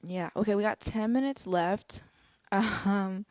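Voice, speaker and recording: A young adult woman speaking casually to livestream viewers from home between songs, relaxed and chatty with fillers. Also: mid-range voice, slow pace, no room sound. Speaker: musician performing a livestream show. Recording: loud music over the voice. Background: music.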